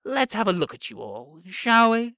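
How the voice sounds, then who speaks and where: An actor playing a smug, menacing Prime Minister in an audio drama, drawling and sarcastic, with theatrical politeness and long pauses. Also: male voice, very high-pitched, very animated, pushed and bright, slight background noise, exaggerated voice. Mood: mock-polite menace.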